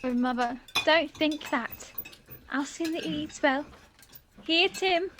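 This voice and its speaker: Woman as high-voiced, gentle daughter Martha. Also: slow pace, slight background noise. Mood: reassuring. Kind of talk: radio play acting.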